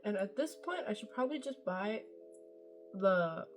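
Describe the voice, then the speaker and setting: A woman's voice: mid-range voice, animated, normal speed, faint music behind. Chatty young woman doing a makeup tutorial. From Norway. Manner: chatty, rambling, self-deprecating, swears casually. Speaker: beauty vlogger. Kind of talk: casual makeup tutorial chat.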